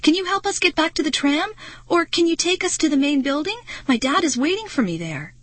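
Woman putting on a frightened, high-voiced child hero. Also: normal speed, fairly bright tone, no room sound. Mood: anxious pleading. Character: frightened, pleading child hero of a horror story.

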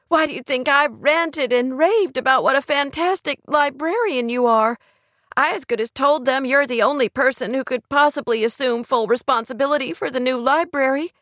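Woman playing a high-pitched, distraught young woman. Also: no room sound. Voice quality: trembling, tearful. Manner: sharp, demanding, near hysterical. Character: distraught, angry young woman, sarcastic and pushy.

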